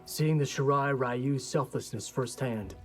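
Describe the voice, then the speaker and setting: An actor playing a defiant, skeptical young warrior in a video game, with a blunt, casual, challenging delivery. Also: male voice, medium pitch, a little slow, close to an everyday voice. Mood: guarded. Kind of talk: video game character dialogue. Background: game music.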